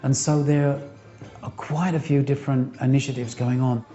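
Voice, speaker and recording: A man's voice: medium pitch, flat delivery, moderate pace. A man talking casually in an interview, with a rambling, hesitant flow. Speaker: temple devotee interviewee. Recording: dull lo-fi with soft music.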